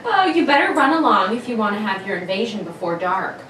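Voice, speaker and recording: A woman playing an indulgent, amused suburban mother in a radio drama, with a gently teasing manner. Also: mid-range voice, sing-song, fairly quick. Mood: amused, firm. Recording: roomy, distant mic, faint noise.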